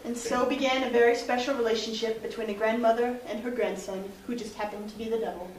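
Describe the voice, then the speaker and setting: A woman narrates theatrically, with mock drama. Her voice sits a little high. She is picked up from a distance in a reverberant room with faint noise. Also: steady tone, quick pace. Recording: echoey noisy room, distant mic.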